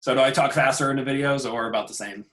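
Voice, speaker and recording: A man, an instructor, chatting casually with his students on a video call, relaxed and chuckling as he trails off. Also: mid-range voice, fast talker, noisy background. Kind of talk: casual class meeting chat. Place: home.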